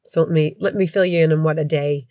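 Congested middle-aged New woman, low-pitched, rambling podcast host, dry room. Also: steady tone, fast, mellow, no echo. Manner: chatty, rambling, candid. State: congested with a head cold. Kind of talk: casual podcast chat. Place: home.

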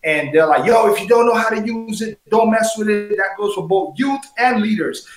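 A man's voice: high-pitched, normal speed. Upbeat man from Colombia, echoey room. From San Andres, Colombia. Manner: animated storyteller, says bro a lot. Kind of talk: casual interview chat.

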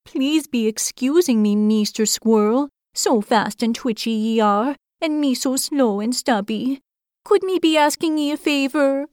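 A woman's voice: sits high, unhurried, a little mellow, smooth, no room sound, studio quality, voice pushed up. A woman voicing a shy, timid little male hedgehog who pleads meekly in quaint broken grammar.

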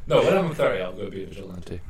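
Halting, joking young man, 18. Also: deep voice, very animated, husky. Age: young adult, 18. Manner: halting, self-correcting, joking. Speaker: podcast guest. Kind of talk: casual chat.

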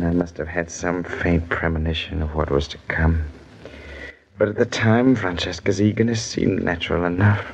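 Jealous aristocrat for vintage radio play: lowish register, grand, brooding, commanding delivery, dulled archival sound. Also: male voice, vocal fry. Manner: theatrical, imperious, musing. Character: bitter, jealous nobleman brooding over wine and betrayal. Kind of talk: old-time radio drama acting. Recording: muffled old radio recording, faint noise.

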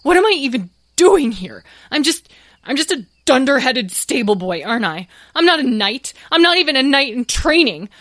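Woman playing a young stable boy, higher voice, stammery, close dry recording. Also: expressive, normal speed, darkish tone, no echo, natural voice. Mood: despairing. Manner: tearful, stammering. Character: earnest young stable boy, kind-hearted and self-doubting. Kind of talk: storybook character voice.

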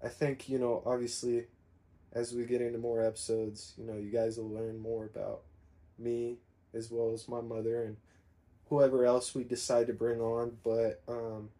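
Young guy in his 20s chatting on a podcast, mellow dark tone, kinda flat, lots of ums. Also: mid-range voice, moderate pace. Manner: relaxed, rambling, many fillers. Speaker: podcast co-host. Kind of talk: casual conversation.

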